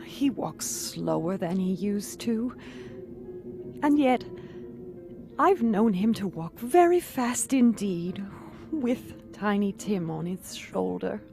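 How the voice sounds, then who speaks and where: A woman playing a tender, grieving mother in a radio play, tearful and halting in a theatrical way. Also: mid-range voice, animated, vocal fry, music in the background. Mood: wistful sorrow. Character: grieving, tender mother mourning her little son.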